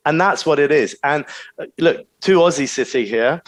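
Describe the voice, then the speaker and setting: A man's voice: a little high, normal speed, no echo, poor audio. A man from Britain, a guest on an interview, speaking carefully and hedging, with many restarts and fillers. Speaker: guest, crypto project team member. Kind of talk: casual interview talk.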